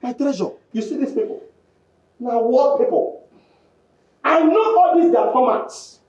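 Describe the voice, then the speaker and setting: A man's voice: very high voice, unhurried, lo-fi sound. Guy doing a desperate pidgin-speaking man pleading nonstop, voice all over the place. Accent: Nigerian Pidgin. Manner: pleading, repetitive, animated. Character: desperate young man begging for help.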